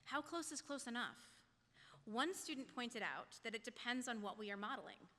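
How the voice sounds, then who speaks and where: A woman giving a prepared, reflective sermon as a lay speaker, in a measured, questioning manner. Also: on the high side, expressive, sharp tone. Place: church sanctuary. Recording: long church hall reverb.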